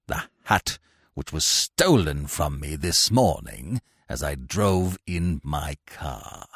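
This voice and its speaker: A man voicing a cold, nasty, imperious older gentleman in a stiff, commanding, deliberate way for a comic story. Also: creaky, slightly rough, dry recording, studio quality, heavily acted. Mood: icy, stern. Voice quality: cold, low voice.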